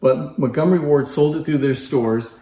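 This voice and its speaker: A man's voice: on the high side, moderate pace, mellow. Man demonstrating in an echoey room. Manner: informal, explanatory. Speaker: presenter showcasing antique phonographs. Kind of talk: casual product demonstration.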